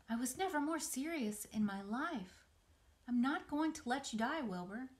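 A wise, motherly spider from a storybook , played by a woman. She is calm and comforting, speaks a little high, and gives firm instructions with reassurance. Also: moderate pace.

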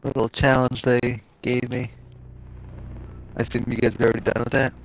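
Gravelly man chatting over a game. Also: mid-range voice, flat delivery, moderate pace, a little hiss or hum, rough recording. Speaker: game streamer. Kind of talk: casual chat with teammates while gaming.

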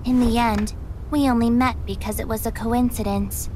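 Lady doing snooty deadpan little girl, high voice, sharp tongue, game cutscene. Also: moderate pace, slight background noise, natural voice. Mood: dry, aloof. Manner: deadpan, haughty, teasing. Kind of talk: video game cutscene acting.

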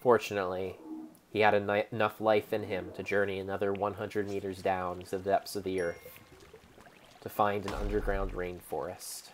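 A young man with a low voice gives a dramatic reading of a video game. He speaks theatrically and deliberately, pausing between phrases like a storybook narrator. There is light noise behind him. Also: little pitch movement. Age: young adult. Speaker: game streamer.

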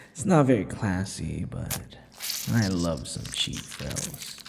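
A man playing a possessive vampire lover in an intimate ASMR roleplay, teasing and menacing, murmuring slowly with drawn-out words. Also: a little mellow, heavily acted. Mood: adoring, teasing. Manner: slow, breathy murmurs, drawn-out words, low chuckles. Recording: long reverb, faint background noise.

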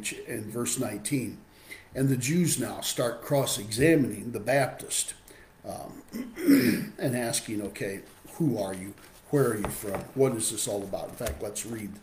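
A man in his sixties with a hoarse voice from a cold, teaching an adult Bible class on a webcam and reading scripture aloud. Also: medium pitch, darkish tone, reverberant, slight background noise. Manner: explanatory, reads scripture aloud. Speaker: Sunday school teacher. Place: home room with window. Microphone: webcam video call.

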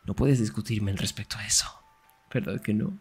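A man acting a young, sarcastic and jealous best friend in an ASMR roleplay, teasing in a breathy voice that often drops toward a whisper. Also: fairly low pitch, fairly even intonation, a little fast, soft background music. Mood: flustered, teasing.

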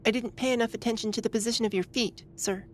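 A woman's voice: fairly high pitch, fairly even intonation, quick pace, a little hiss or hum, natural voice. A woman voicing an obedient, tough thirteen-year-old girl in an audio drama, terse and respectful. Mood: respectful, subdued.

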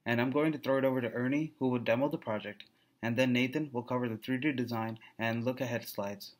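Young man, average pitch, student presenter, scripted slide narration, read aloud. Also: fairly even intonation, fast talker. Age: young adult.

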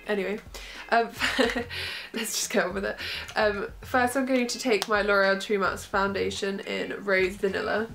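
A woman's voice: mid-range voice, creaky. A young woman with a cold and a bunged-up voice, chatting casually through a makeup tutorial in her bedroom, close to the camera. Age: young adult. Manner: chatty, relaxed, giggly asides. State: congested from a cold. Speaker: makeup vlogger. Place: small bedroom, indoors. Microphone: camera close in front.

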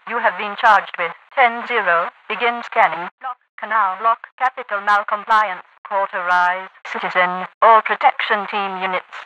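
A woman playing a cold, computerized announcer in a dystopian video game, giving clipped, detached military alerts with halting phrasing through loudspeaker and radio processing. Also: medium pitch. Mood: flat, clinical. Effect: synthetic loudspeaker and radio processing. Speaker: automated public address announcer. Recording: phone-like radio, echoing long reverb.

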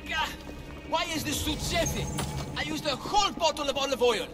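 A man's voice: very high voice, moderate pace. A man playing an anxious, melodramatic father, a silver-tongued swindler in a video game, with theatrical, faltering speech. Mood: flustered, nervous. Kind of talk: dramatic video game dialogue. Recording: long cavernous reverb, music behind.

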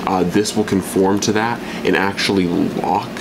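A man's voice: low voice, steady tone, moderate pace, creaky, background noise. A young man casually reviewing a knife at his workbench, rambling with fillers and restarts. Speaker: outdoor gear reviewer. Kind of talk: casual gear review talk. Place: indoors at a workbench.

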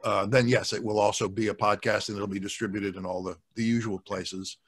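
An older man speaking as a guest in a casual video-call chat, measured and thoughtful, into a desk microphone. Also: lowish voice, little pitch movement, breathy edge. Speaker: guest, audio drama producer. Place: indoors in a home office, video call. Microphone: desk microphone with pop filter.

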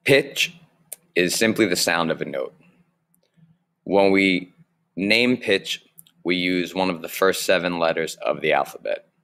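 Young guy with a really deep low voice, slow clear music teacher on a webcam. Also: fairly bright tone. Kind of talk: recorded online lesson over slides.

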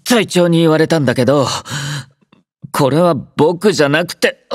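An actor playing a gentle, quietly caring young male android soldier in a Japanese game, soft-spoken, drowsy and composed, with a mildly teasing manner. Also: fairly high pitch, normal speed, dry recording, studio quality, natural voice. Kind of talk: Japanese game character voice acting.

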